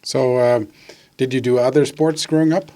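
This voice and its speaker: Guy hosting a podcast, mellow dark voice, medium pitch, asking questions in a chatty way. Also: fairly even intonation, moderate pace. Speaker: podcast host interviewing. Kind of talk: conversational interview questions.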